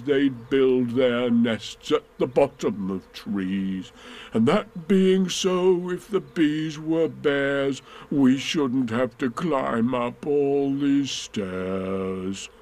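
A man voicing a slow-witted, earnest, honey-loving toy bear in a ponderous, drawn-out, musing way. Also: mid-range voice, animated, a little mellow, husky. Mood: singing to himself.

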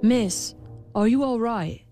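A woman voicing a kind young prince who speaks in a gentle, reassuring way. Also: on the high side, slow, faint music behind, pitched above the performer's own voice.

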